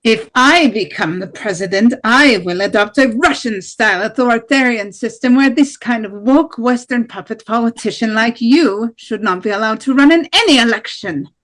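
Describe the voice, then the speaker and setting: A woman's voice: a little high, moderate pace, hardly any pauses, fairly bright tone, close to an everyday voice. A woman playing a hardline, defiant nationalist presidential candidate in an amateur table read of a debate. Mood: firm.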